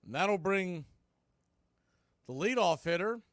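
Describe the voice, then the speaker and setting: Higher-voiced man calling baseball play-by-play. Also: expressive, takes it slowly, no echo, clean studio sound. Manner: punchy clipped calls with conversational asides. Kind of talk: live radio play-by-play commentary.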